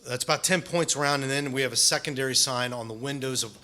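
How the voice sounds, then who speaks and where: Stuffy, nasal deputy chief. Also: male voice, medium pitch, fast talker, pushed and bright. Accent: Ohio. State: stuffy from allergies. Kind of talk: town hall briefing.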